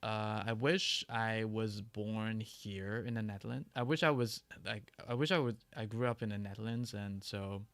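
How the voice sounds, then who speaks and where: Gravelly-voiced man chatting on a livestream. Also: on the low side, fairly even intonation, a bit bright, no echo. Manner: halting, many restarts and fillers. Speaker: livestream host. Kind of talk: casual livestream chat answering viewer questions.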